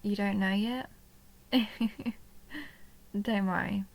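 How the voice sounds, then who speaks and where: Vampire countess for intimate audio roleplay, played by a woman: soft and coaxing, near-whispered, creaky, narrow pitch movement, lonely and possessive. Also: steady tone, slow. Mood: soothing, affectionate. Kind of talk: intimate roleplay audio drama, addressed to the listener.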